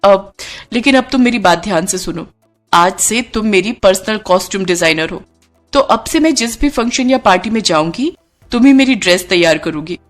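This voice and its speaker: A woman's voice: mid-range voice, expressive, normal speed, soft background music, natural voice. A woman plays a glamorous young actress in a cartoon tale. She sounds confident and effusive, bossing affectionately like an elder sister, with grateful feeling in her delivery. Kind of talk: Hindi cartoon story dialogue.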